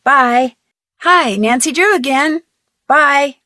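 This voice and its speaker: An earnest young female detective in a video game, politely firing off question after question. Her voice sits a touch high and is recorded dry and close in a studio. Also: takes it slowly, bright, forceful tone, no echo, full character voice. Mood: curious. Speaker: player character.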